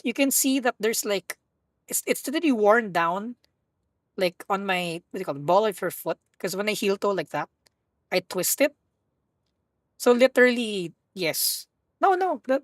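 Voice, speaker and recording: A woman with a husky voice hosts a live stream, chatting casually while she plays. She rambles, corrects herself and jokes around. Her voice sits mid-range, and the sound is close and dry. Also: mid-range voice, animated, normal speed, dry recording. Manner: rambling, self-correcting, playful.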